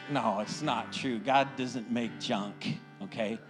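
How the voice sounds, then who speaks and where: A middle-aged American man addresses his church as its pastor. His delivery is informal, folksy and encouraging, often seeking agreement from the congregation. Soft guitar music plays faintly behind his mid-pitched voice. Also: steady tone, slow. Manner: folksy, encouraging, checks in with "right?". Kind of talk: informal sermon and church announcements.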